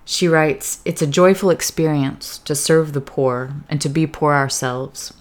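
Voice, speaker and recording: A woman interviewer who reads quotes aloud in a measured, deliberate way, then asks questions. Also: sits low, darkish tone.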